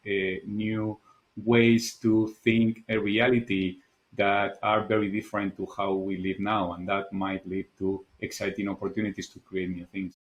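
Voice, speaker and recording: Low-voiced man with a Spanish accent. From Spain. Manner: thoughtful, earnest, repeats words while searching for them. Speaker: guest, architect and designer. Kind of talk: candid podcast interview.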